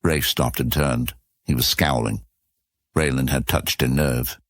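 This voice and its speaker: Very deep-voiced male story narrator. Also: fairly even intonation, a little slow, fairly bright tone, dry recording, studio quality. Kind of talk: scripted fantasy story narration.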